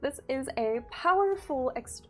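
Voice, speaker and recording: A woman's voice: high voice, lively intonation, measured pace, fairly bright tone, faint music behind. A woman, a community manager, presenting game update details on a livestream in an upbeat, giggly manner. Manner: upbeat, giggly, reads skill details steadily.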